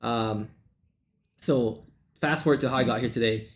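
Man from Malaysia telling his story. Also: mid-range voice. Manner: storytelling, fast run-on sentences with fillers. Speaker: sales coach guest. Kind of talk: podcast interview.

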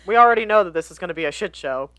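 A woman's voice: on the low side, animated, very fast, fairly bright tone, dry recording. An American woman co-hosting a podcast, bantering casually with wry, playful, sweary humor. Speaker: podcast co-host.

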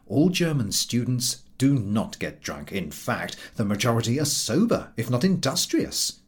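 A man narrating a literary essay as an audiobook reader, in measured, emphatic, oratorical phrasing. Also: medium pitch, sing-song, slightly rough.

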